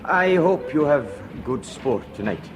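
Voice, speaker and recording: An actor playing a polite island oil rig worker in a radio drama, speaking courteously and with some hesitation. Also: male voice, a little high, big pitch swings, unhurried, background noise. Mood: friendly. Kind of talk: 1970s radio drama acting.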